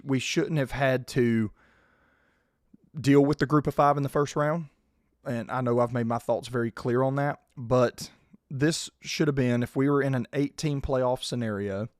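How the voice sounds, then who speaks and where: A man, hoarse and losing his voice, hosting a casual sports podcast and keeping his volume down. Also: medium pitch, no echo, studio quality. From South Georgia, US. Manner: restrained, holding his volume down. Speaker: podcast host.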